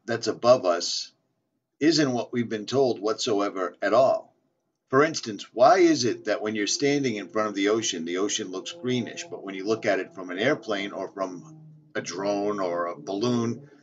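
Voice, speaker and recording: A man rambling insistently in a casual monologue, repeating himself and stumbling as he reads aloud. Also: on the low side. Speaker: flat earth video maker. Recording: dull lo-fi audio.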